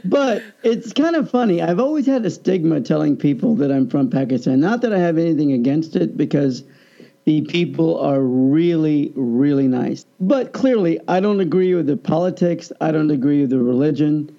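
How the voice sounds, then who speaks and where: A man, chatting on a podcast as an animated storyteller. His voice sits a little high but sounds mellow and warm. The recording is dull and lo-fi. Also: moderate pace, gravelly. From Kansas, United States. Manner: animated storyteller, laughs often, keeps asking "right?". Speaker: podcast co-host. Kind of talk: casual chat.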